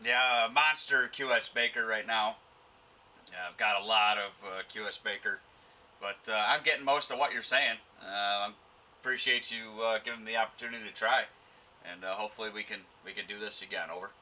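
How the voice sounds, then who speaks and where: A man working as an amateur radio operator, talking casually and haltingly in radio procedure words and callsigns. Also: on the low side, rough recording. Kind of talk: casual ham radio contact with on-camera commentary. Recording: loud radio static and noise. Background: radio receiver noise and static.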